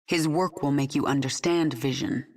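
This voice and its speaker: A woman's voice: extremely low pitch, moderate pace, a little mellow. An actress playing the commanding queen of an alien swarm, giving cold, authoritative orders in a game cutscene.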